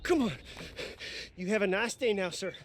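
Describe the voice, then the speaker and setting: Man playing a high-voiced, jittery frontier bumbler. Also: moderate pace, a little hiss or hum, natural voice. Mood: nervous. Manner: stammering, rambling, jittery. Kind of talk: cinematic video game acting.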